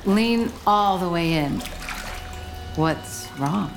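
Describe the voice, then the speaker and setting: A sinister mother in a radio horror play. Her low voice is unhurried, coaxing and composed, with expressive turns. Music and water are heard behind her. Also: vocal fry, exaggerated voice. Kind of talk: audio horror drama acting.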